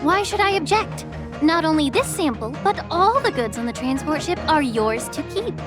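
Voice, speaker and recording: A woman voicing a polite, unruffled and quietly sly head of a medicine guild in a video game. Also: sits high, sing-song, normal speed, over music. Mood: coy, teasing. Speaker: voice actor in a game. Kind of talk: game cutscene dialogue.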